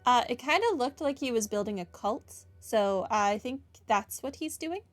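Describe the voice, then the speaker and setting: A woman's voice: fairly high pitch, expressive, normal speed. A woman gaming host commenting on a playthrough in a musing, conversational, opinionated way. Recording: game music behind the voice. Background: game music.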